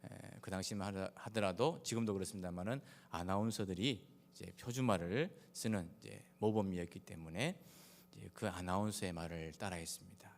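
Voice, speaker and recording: A man from Daegu with a Gyeongsang Korean accent, preaching a sermon as a pastor in a measured, halting way with frequent pauses. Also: low voice. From Daegu, South Korea. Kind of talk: sermon with personal anecdotes. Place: large reverberant church hall. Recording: echoey hall with long reverb.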